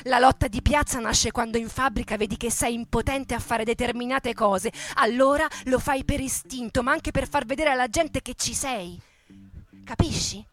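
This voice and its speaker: A woman's voice: fairly high pitch, moderate pace, close to an everyday voice. A woman playing a fiery, defiant male factory worker in a radio theatre monologue, speaking colloquially in short, emphatic, repetitive phrases. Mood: defiant, firm. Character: militant male Fiat factory worker recalling the 1962 revolt, fiery, defiant.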